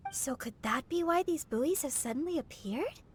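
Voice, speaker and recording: Very high-voiced bubbly teenage girl. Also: animated, moderate pace, a little hiss or hum. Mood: hesitant. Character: sweet, bubbly, dreamy teenage girl performer. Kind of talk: anime-style video game voice acting. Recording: light music behind.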